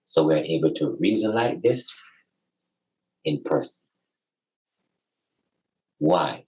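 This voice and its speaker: A man with a fairly low voice reasons aloud in a casual, reflective style. He is earnest and emphatic, circling back and repeating phrases to drive each point home. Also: lively intonation, takes it slowly, gravelly, darkish tone, poor audio. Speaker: podcast guest speaker on spirituality and community.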